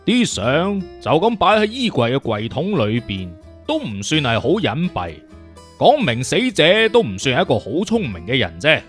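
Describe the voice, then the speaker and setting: A man's voice: medium pitch, wildly swooping pitch, normal speed, a bit bright, soft background music, pitched below the performer's own voice. A man voicing a cocky, teasing detective consultant in an audiobook, speaking slangy with a swaggering, mocking manner. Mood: smug.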